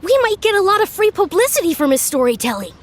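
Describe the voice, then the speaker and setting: An earnest young expert from a video game, high in pitch and formal in manner. The wording is precise and instructive, with faint noise underneath. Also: female voice, big pitch swings, fast.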